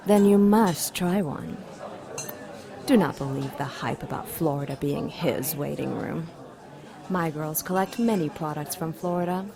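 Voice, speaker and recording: Audio drama villainess: haughty, seductive demon, slow and fairly low, amid diner clatter. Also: female voice, gravelly. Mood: smooth, superior. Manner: sultry, haughty, deliberate. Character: seductive, imperious female demon, coolly menacing. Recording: restaurant clatter and chatter behind.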